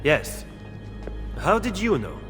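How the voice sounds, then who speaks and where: An actor playing a shrewd, serious Italian political schemer in a video game, precise and earnest, with an Italian accent. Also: male voice, high-pitched, wildly swooping pitch, takes it slowly, fairly bright tone, heavily acted. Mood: stern, probing. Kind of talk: video game dramatic acting. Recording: long reverb under clear music.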